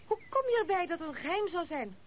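Through a thin, phone-like and faintly hissy old radio recording comes a proud young noblewoman. She is troubled and guarded, halting as she speaks, with defiance in her radio-play delivery. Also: extremely high pitch, sing-song. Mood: bitter, defensive. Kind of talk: Dutch radio play acting.

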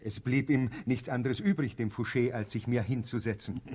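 Radio drama: man as servile soldier-barber, mid-pitched, deferential and dry, with a brooding streak. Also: normal speed, darkish tone, slightly rough, lightly acted. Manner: deferential, clipped military replies with dry undertone. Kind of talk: theatrical radio drama acting.

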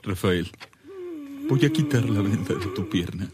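A man's voice: lowish voice, expressive, measured pace, background noise. A man acting a solemn, grieving priest in a radio drama, with a ceremonial delivery. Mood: solemn, tender. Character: solemn grieving priest at his dying brother's bedside.

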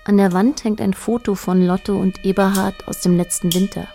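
An actress playing a detached, observant narrator in a radio drama, speaking in a measured, matter-of-fact way. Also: female voice, mid-range voice, steady tone, vocal fry, warm tone, faint music behind, close to an everyday voice. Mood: sober.

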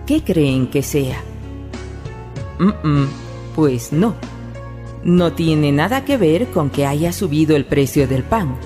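A woman playing a knowing, ironic storyteller, narrating a radio drama with wry dramatic pauses. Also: deep voice, mellow. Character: knowing, ironic female storyteller addressing listeners.

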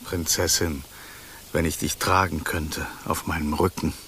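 Slow, gravelly golden snake. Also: male voice, very deep voice, little pitch movement, bright, forceful tone, a little hiss or hum, exaggerated voice. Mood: concerned. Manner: theatrical, deliberate, courtly. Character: talking golden snake, former king, gallant and plaintive. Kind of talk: German radio play acting.

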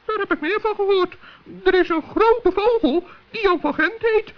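A performer playing a small, polite, anxious male forest gnome in a children's radio play, with a squeaky, hesitant voice. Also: moderate pace. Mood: polite, puzzled. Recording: dull old radio play recording.

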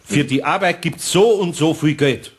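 Man acting an older bavarian craftsman in a kids radio play, slightly high voice, kindly grumbler, mutters little interjections. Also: moderate pace, natural voice. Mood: patient, chiding.

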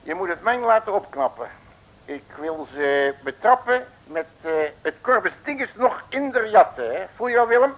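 An actor playing a cocky, boastful detective who talks in brash, bossy slang, in the style of a 1950s radio comedy serial. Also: male voice, sits high, expressive, moderate pace, a bit bright. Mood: cocky, familiar. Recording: dull old radio recording.

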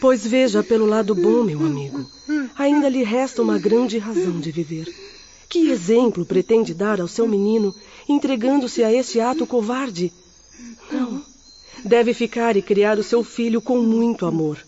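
A dull, lo-fi radio play features a compassionate, firm middle-aged medium, played by a woman. Her mellow, dark voice consoles with earnest, preachy conviction. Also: a little high, animated, moderate pace. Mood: consoling, firm. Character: compassionate, firm female spirit medium consoling a bereaved man. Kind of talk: radio drama acting. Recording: muffled, lo-fi radio play audio.

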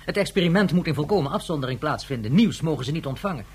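An actor playing a formal, earnest official in a science-fiction radio drama. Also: male voice, a little high, expressive, rapid-fire, a little mellow, husky. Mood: earnest, explaining. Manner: formal, earnest, rhetorical questioning. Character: grave, formal, measured official on a space station. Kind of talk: Dutch radio drama acting.

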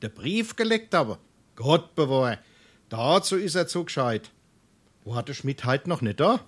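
An actor playing a sly, good-natured butcher with a Darmstadt Hessian accent, wry and matter-of-fact in a dialect stage comedy. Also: male voice, a little high, big pitch swings, normal speed. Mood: knowing.

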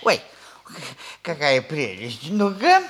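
An actor playing an eager, deferential old military bandmaster in a 1960s radio comedy, fluttery and obsequious, repeating himself. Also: male voice, on the high side, huge pitch swings, slow pace, heavily acted. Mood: admiring. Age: older. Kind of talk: Soviet radio comedy acting, early 1960s. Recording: vintage 1960s radio play recording.

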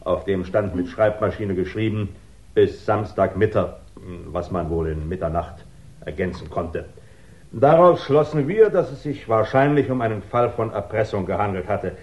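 Low-pitched dour village inspector played by an actor. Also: male voice, expressive, normal speed, a little mellow, natural voice. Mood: dour, official. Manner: matter-of-fact, official. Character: dour village police inspector giving evidence. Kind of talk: 1960s German radio crime drama acting. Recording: dull 1960s radio recording.

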